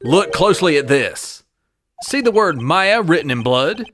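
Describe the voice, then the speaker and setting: A man playing an earnest, easily flustered young defense lawyer in an expressive game-fandub style. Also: a little high, a little slow. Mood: determined. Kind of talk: game fandub courtroom drama. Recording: music behind the voice.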